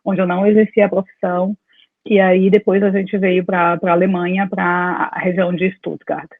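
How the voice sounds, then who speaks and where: A woman in her forties from Salvador, Brazil, talks over a video call that sounds tinny and phone-like. The audio is dry and her voice comes through a little husky. She tells her story at length and wanders off on side points. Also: fairly low pitch, flat delivery, normal speed, dry recording. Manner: talkative, meandering storytelling with laughs. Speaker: dentist, interview guest. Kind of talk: casual conversation over a call.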